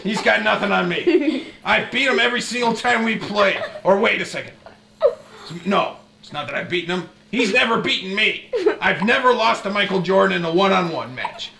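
A man's voice: high-pitched, expressive, moderate pace, gravelly, bright, forceful tone, full character voice. A man voicing a wisecracking baby girl doing an improvised stand-up monologue in the manner of an old-school nightclub comic. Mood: boastful, joking. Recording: noisy amateur home video with clicks.

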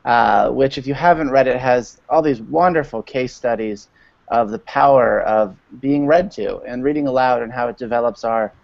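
A man's voice: mid-range voice, normal speed, creaky, dry recording. A man in his thirties chatting casually as a guest on a video call. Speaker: school librarian guest. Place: room at home. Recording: dull lo-fi video-call audio.

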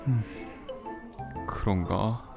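A man's voice: lowish voice, extremely slow, creaky, exaggerated voice. A man playing a flustered, shy thirties guy. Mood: fretful. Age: 30s. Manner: flustered, hesitant, sighing. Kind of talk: amateur audio drama acting. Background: soft background music.

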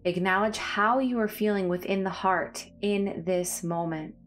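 A woman leading a guided body scan meditation in a calm, soothing, measured way, leaving pauses between phrases. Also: mid-range voice. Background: background music.

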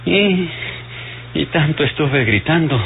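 An actor playing a bitter, sardonic dying old rogue in a radio serial, with a Mexican accent and a groaning, halting, rustic way of talking. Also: male voice, on the high side, expressive, gravelly, full character voice. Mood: sardonic, rambling. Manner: rustic, sardonic, colloquial speech. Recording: muffled, hissy old radio drama recording.

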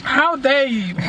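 A woman's voice: high-pitched, noisy background. A young woman chatting playfully with a friend while filming a vlog inside a car, laughing and teasing. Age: young adult. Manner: playful, sings along to music, laughs often. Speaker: vlogger. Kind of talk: casual car vlog chat. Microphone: dashboard camera. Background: music playing in the car.

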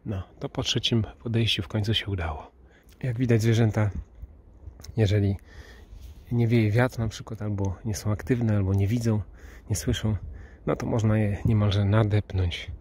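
A man narrating as he walks through a snowy park, often dropping to a hushed whisper as he sneaks up on animals, recorded on the camera's mic. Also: on the low side, fairly even intonation, moderate pace, slightly rough. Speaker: nature vlogger stalking wild boar. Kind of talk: first-person outdoor narration while walking. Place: snowy park outdoors in winter. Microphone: handheld camera mic, filming point of view.

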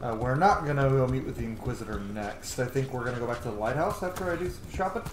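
A man's voice: mid-range voice, expressive, fast talker, noisy background. Man casually commentating a game, roomy sound. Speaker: gaming streamer roleplaying a playthrough. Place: room at home, indoors. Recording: roomy, game music faintly behind.

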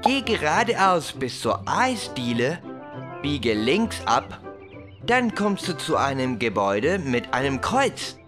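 A friendly cartoon smartphone navigator, explaining things in a sing-song manner. The pitch swings around in a lively way, and music plays behind it. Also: female voice, slow pace, exaggerated voice. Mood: helpful, explaining. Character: helpful, cheerful talking smartphone navigator. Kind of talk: children's cartoon dubbing.

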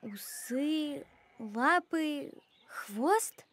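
A woman's voice: high voice, animated, drawn-out words, no echo, exaggerated voice. A woman voicing an eager, cheerful, determined little girl in a children's cartoon. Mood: hopeful. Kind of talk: animated children's cartoon acting.

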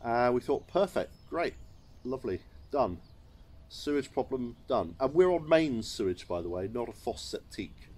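A man's voice: mid-range voice, lively intonation, moderate pace, darkish tone. A middle-aged English man, a chateau owner, telling a wry, understated story to camera while seated in a sunny garden courtyard. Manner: wry, understated, chuckling at his own mishaps.

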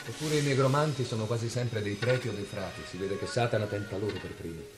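An actor playing a stern medieval male inquisitor in a radio drama, measured and brooding as he reads from an old book. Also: fairly low pitch, noisy background, lightly acted. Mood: commanding.

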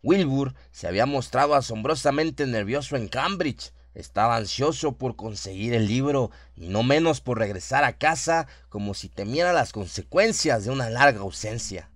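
A man narrates a horror radio play in a medium-pitched voice. The recording is dry, with very little room sound around him. His delivery is that of scripted, dramatic storytelling. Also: expressive, moderate pace, hardly any pauses, a bit bright, dry recording.

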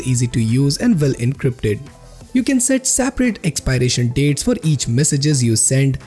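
A man's voice: on the high side, mellow, husky. A man hosting a tech video, reading scripted narration in a sing-song delivery. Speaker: host of a tech review channel. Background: background music.

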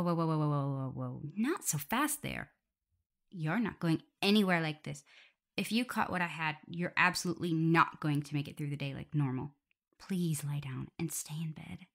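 Casting: woman to play young female omega werewolf for ASMR audio drama; caring, flustered, fussing; soft breathy delivery, lowish pitch with plenty of vocal fry. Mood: firm, fussing. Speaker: audio roleplay performer. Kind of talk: intimate ASMR roleplay.

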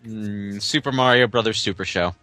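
A man's voice: on the low side, moderate pace, bright, forceful tone, faint music behind. A man giving casual, joking commentary while playing a video game.

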